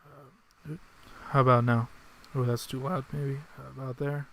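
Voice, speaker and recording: A soft-spoken man chatting casually and hesitantly while streaming a game, talking into a desk microphone. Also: mid-range voice, flat delivery, a little slow, creaky, rough recording. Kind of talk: casual live-stream chat while playing. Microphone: desk microphone on a computer.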